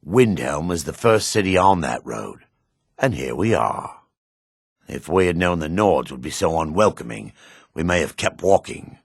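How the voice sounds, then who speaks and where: A husky, disdainful dark elf. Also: male voice, very deep voice, lively intonation, unhurried, no room sound, studio quality, exaggerated voice. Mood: bitter, sardonic. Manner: sardonic, disdainful. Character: jaded, contemptuous male dark elf of a fantasy game. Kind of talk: video game character voice acting.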